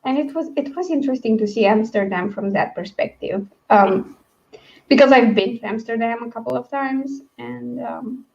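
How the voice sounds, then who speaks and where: Woman, high voice, rambling book-chat host, casual, dull lo-fi sound. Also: normal speed, creaky, a little mellow. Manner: rambling, chatty. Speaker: host of a book read-along livestream.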